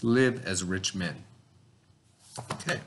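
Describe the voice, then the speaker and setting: A man teaching through a read-aloud, pausing to explain things and put questions to his listeners. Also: low voice, background noise.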